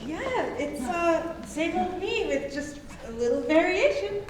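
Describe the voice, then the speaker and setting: A woman's voice: extremely high pitch, animated, a little slow. A woman playing a cheerful, newly confident friend in a staged reading. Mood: pleased, chatty. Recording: very echoey hall, distant mic, faint noise.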